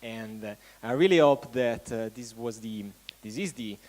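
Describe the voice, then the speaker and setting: A man hosting an event, giving a halting welcome speech to an audience and often restarting his phrases. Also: mid-range voice, a little mellow, low-quality recording. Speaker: event host.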